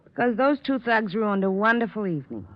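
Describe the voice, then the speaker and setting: A woman's voice: medium pitch, very animated, brisk, close to an everyday voice. An actress with an American accent playing an affectionate, pouting girlfriend in a 1950s radio drama, coy and teasing in manner. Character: affectionate, pouting, playfully scolding adult girlfriend. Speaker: supporting actress. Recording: dull vintage radio recording, faint music.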